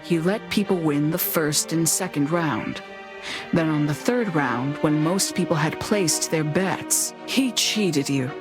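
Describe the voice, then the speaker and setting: A lowish woman's voice over clear music, a determined, skeptical investigator in a video game. She speaks firmly and matter-of-factly, without nonsense. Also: takes it slowly. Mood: dry skepticism. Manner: firm, matter-of-fact. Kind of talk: video game cutscene acting.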